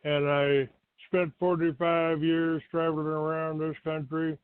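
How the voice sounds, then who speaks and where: An older American man from Texas hosting a talk show, giving a rambling, halting monologue to his listeners. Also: a little high, level tone, smooth. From Texas, United States. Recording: roomy, tinny phone-like line.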